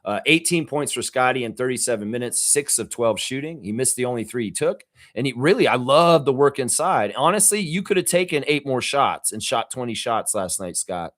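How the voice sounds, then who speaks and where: A man hosting a fan reaction show, raspy from yelling at a game, ranting emphatically with swearing and repeated phrases. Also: mid-range voice, expressive, fairly quick, hardly any pauses. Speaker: fan channel host. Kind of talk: fired-up fan reaction rant.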